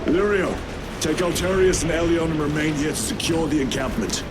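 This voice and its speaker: A man's voice: fairly high pitch, brisk, natural voice. Man acting a stern, commanding space marine lieutenant. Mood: stern, measured. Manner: formal, clipped military delivery. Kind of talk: dramatic video game acting. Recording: loud music and battle noise behind.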